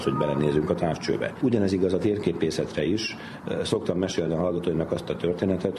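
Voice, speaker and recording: A middle-aged man explaining things in an interview, haltingly, as an academic thinking aloud. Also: extremely low pitch. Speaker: academic interviewee, university department head. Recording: clearly noisy interview background.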